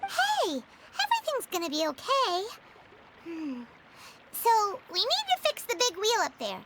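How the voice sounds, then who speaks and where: Squeaky, bubbly little girl mage. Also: wildly swooping pitch, unhurried, very noisy, exaggerated voice. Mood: reassuring. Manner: bubbly, eager, innocent. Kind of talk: video game character dialogue. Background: music.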